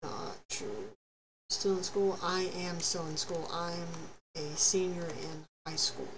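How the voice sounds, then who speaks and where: A high-voiced young man in his late teens from Texas, chatting casually and rambling self-deprecatingly while streaming a game on a headset. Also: steady tone, a little slow. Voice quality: high, youthful-sounding voice. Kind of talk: casual live-stream chat while playing. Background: ambient noise, thunder outside.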